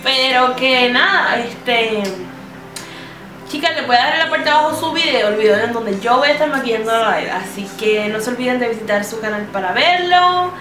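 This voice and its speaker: A woman's voice: a little high, normal speed. A young woman in her twenties chatting casually with viewers in a beauty vlog, laughing often. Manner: chatty, giggly, speaks to viewers. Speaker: beauty vlogger. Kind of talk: casual chat. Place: small indoor room against a plain wall. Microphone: far camera. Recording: roomy, distant, music behind.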